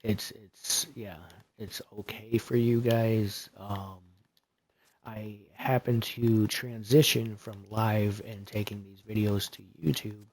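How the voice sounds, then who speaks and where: A soft-spoken man with a fairly low voice talks slowly and loosely through a gaming livestream. He rambles along, filling the gaps with ums and uhs. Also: fairly even intonation. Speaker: streamer. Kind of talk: casual game commentary.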